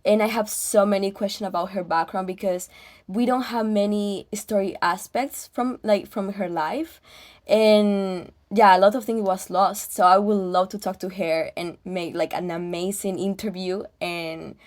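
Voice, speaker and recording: A woman's voice: medium pitch, fairly even intonation, measured pace, darkish tone. A young woman from Bolivia, a student guest on a podcast, who answers hesitantly with many fillers. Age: young adult. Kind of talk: casual interview answers.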